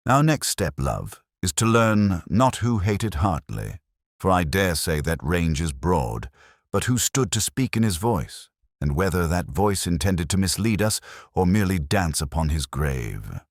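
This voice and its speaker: A man voicing a mild, courteous, shrewd police inspector in a radio-style mystery, speaking formally with measured, dry wit. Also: deep voice, sing-song, no echo, full character voice. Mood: musing. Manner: measured, dryly wry, formal.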